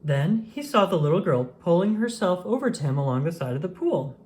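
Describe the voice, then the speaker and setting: A woman reading a children's picture book aloud in an expressive storytelling manner. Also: fairly low pitch, a little fast. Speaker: storybook reader. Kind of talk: children's read-aloud narration.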